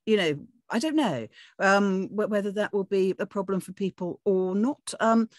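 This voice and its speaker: A woman's voice: medium pitch, moderate pace, dry recording, clean studio sound. A woman giving casual, tentative feedback as a panellist, hedging as she works out her opinion. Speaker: script reading panellist.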